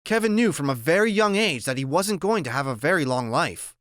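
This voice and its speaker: A man voicing a gentle, weary woman doctor who speaks in a soft, earnest, consoling way. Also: fairly high pitch, animated, brisk, no room sound, clean studio sound.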